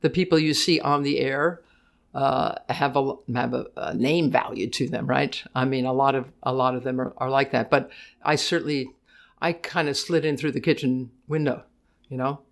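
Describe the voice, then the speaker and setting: An older woman with a deep voice, a guest in a casual interview, talking fast in bursts, often restarting her sentences and laughing easily. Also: steady tone. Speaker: guest, tennis broadcaster.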